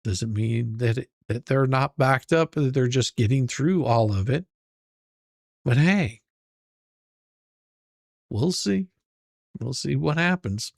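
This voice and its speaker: A man hosts his channel and comments casually on a clip. His voice has a gravelly fry, and he rambles, often repeating a word before he finds the next one. The sound is close and dry. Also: mid-range voice, slow pace, long pauses, no echo. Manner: rambling, repeats words while thinking. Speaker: channel host reacting to a stream.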